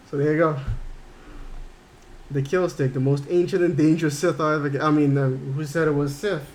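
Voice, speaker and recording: A man from Curaçao streaming a game, chatting casually and answering his chat in a rambling way. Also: a little high. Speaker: gaming streamer.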